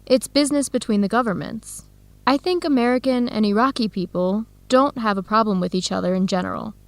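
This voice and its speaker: A woman playing a warm, curious American researcher in an educational radio drama, speaking in a conversational, inquisitive way. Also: a little high, fairly quick, no echo, close to an everyday voice. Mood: thoughtful.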